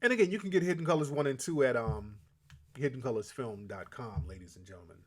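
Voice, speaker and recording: A man's voice: medium pitch, lively intonation, quick pace. A man hosting a call-in show, talking bluntly and emphatically to callers. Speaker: host.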